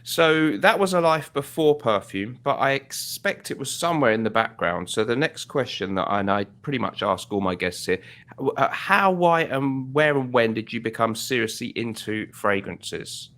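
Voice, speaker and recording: A man's voice: mid-range voice, lively intonation, moderate pace, a bit bright. A man based in the UK hosting a fragrance interview over a video call, upbeat and chatty with frequent restarts. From United Kingdom. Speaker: host.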